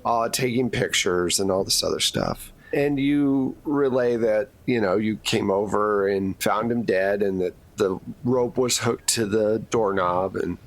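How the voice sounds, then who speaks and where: A man's voice: fairly low pitch, measured pace, hardly any pauses. A man narrating a tabletop roleplaying game as game master, setting scenes in a casual, conversational way.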